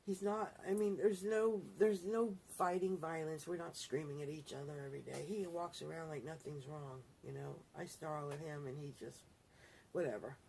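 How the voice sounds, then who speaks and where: A middle-aged woman talks to her viewers in a loose, rambling way and often corrects herself. Her voice sits fairly low and has a gravelly, creaky edge. A faint hiss of noise runs under the recording. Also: fairly even intonation, moderate pace, a little mellow, rough recording. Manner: rambling, chatty, self-correcting. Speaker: vlogger. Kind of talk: casual talk to camera.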